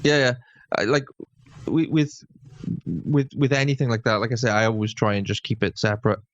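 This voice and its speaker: An easygoing man with a Swansea Welsh accent chats casually, often stumbling over restarts. His voice is at a middle pitch. The recording sounds a little dull. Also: flat delivery, brisk. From Wales. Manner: easygoing, hesitant with repeated restarts. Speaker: guest guitarist. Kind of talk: casual chat.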